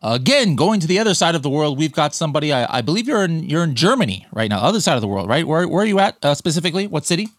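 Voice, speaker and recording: A man's voice: fairly high pitch, sing-song, racing, hardly any pauses, no echo, clean studio sound. A man hosting a podcast interview in a loose, chatty way, full of fillers and restarts. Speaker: podcast host. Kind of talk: casual interview chat.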